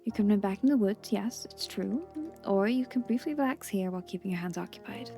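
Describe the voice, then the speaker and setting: Kindly tea shop gentleman played by a woman, quaint and unhurried, cosy video game character, faint music. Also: medium pitch, close to an everyday voice. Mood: gently persuasive. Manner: formal, quaint phrasing, gently polite.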